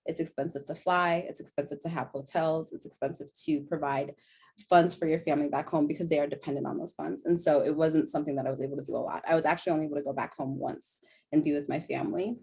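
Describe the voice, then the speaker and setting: A woman's voice: low voice, steady tone, fast talker, a little mellow, husky. A young woman with an American accent, reading a prepared panel talk in an earnest way and now and then correcting herself. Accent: General American. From born in a refugee camp in Sudan, raised in Denver. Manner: earnest, self-correcting, occasional laughs.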